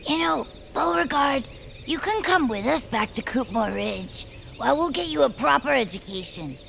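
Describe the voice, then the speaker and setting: A little winged creature in an audio story, loyal and whimsical. The voice is high and lively with a crackly edge, taking its time. Faint woodland insects are heard behind it. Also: female voice, normal speed, creaky, full character voice. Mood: warm, regretful. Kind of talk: audio fiction acting.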